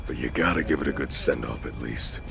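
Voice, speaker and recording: An actor playing a surly, callous bandit in a video game, with a gravelly voice. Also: male voice, a little fast, noisy background, full character voice. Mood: smug. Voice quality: very deep, gravelly. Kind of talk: scripted video game voice acting.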